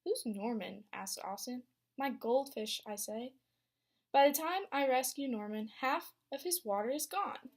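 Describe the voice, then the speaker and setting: A young woman, a student teacher, reading a picture book aloud to a class with expressive storytelling and questions for the children. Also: high voice, unhurried. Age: young adult.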